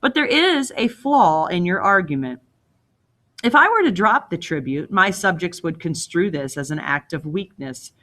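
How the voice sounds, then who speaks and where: A woman's voice: lowish voice, big pitch swings, moderate pace, pushed and bright, heavily acted. A woman voicing a proud old king in a storybook read-aloud, scornful and commanding, often mocking with pointed questions. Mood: stern. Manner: imperious, mocking, probing with questions.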